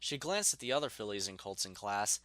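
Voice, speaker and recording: A man's voice: lowish voice, sing-song, fairly quick, a bit bright, no echo. A man narrating a scripted story expressively, in the manner of an audiobook reader.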